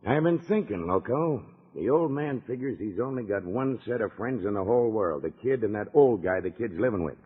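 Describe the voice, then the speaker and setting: Husky american outlaw boss, cold and bossy, old radio western, muffled with long echo. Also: male voice, fairly low pitch, lively intonation, fairly quick, hardly any pauses. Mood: cold, scheming. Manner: hard, commanding. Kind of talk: 1950s radio serial acting. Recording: dull, reverberant old radio recording.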